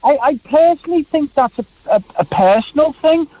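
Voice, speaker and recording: A man rambles cheerfully on a radio call, his voice sitting high for a man. The line sounds dull and dry, without any room echo. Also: animated, normal speed. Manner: rambling, chatty, full of "you know" and "right". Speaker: caller. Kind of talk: phone-in call.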